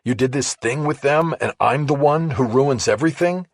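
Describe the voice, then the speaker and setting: A man's voice: mid-range voice, normal speed, dry recording, pitched above the performer's own voice, lightly acted. A man voicing a suspicious investigative journalist in a thriller audiobook, blunt and questioning. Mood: accusing, heated.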